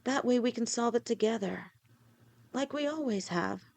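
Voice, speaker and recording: A woman voicing a gentle, protective snake-woman girlfriend who talks softly and reassuringly to her partner in an audio roleplay. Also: fairly high pitch, expressive, normal speed, creaky. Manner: soft, reassuring, speaks directly to a partner.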